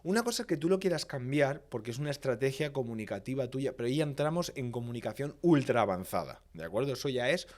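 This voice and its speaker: A man's voice: mid-range voice, animated, quick pace, hardly any pauses. A man from Valencia, Spain, a public speaking expert, explaining his points in a podcast interview with emphatic, didactic repetition. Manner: didactic, emphatic, lists points and repeats phrases for rhythm.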